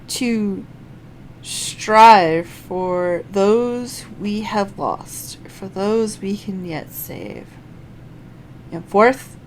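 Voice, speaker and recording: A woman live-streaming a game, reading screen text aloud and thinking out loud in a halting way. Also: mid-range voice, poor audio. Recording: faint background noise.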